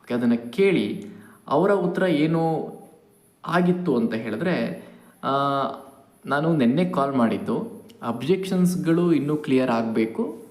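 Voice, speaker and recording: A man's voice: on the high side, animated, unhurried, pauses often, a little mellow. A man giving an informal update to viewers as a channel host, explaining step by step and mixing in English words. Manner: explanatory, repeats key points, mixes in English words. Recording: echoey room.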